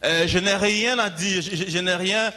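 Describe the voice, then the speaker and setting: A man interpreting a sermon live, phrase by phrase, in a halting way, often repeating and rephrasing himself. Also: high-pitched, pushed and bright.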